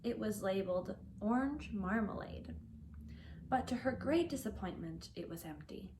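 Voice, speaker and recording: A woman reading a children's story aloud as a teacher would, with expressive, deliberate phrasing for learners. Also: mid-range voice, noisy background.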